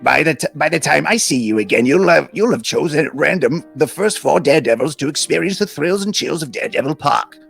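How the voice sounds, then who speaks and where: A man putting on a voice for a showy male TV daredevil pitchman, with a gravelly, husky, booming announcer delivery. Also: expressive, a little fast, hardly any pauses, a bit bright, faint music behind, exaggerated voice. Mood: hyped.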